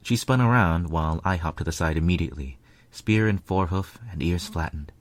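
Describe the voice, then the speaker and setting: A man narrating a story in the first person with a measured, earnest, dramatic delivery. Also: deep voice, no echo.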